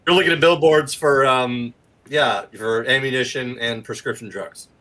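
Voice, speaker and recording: A man's voice: medium pitch, moderate pace, bright, forceful tone, low-quality recording. A man chatting casually as a guest in an interview. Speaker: guest actor and producer. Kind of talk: casual chat.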